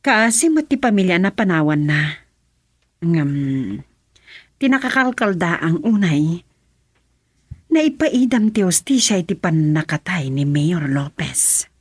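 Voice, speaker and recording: A self-possessed madam and governor in a radio drama. She is theatrical, with widely swinging pitch and a dry studio sound. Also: lively intonation, measured pace, pauses often, husky, no room sound. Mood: concerned. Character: female governor and lady of the house, self-possessed. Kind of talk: Ilocano radio drama acting.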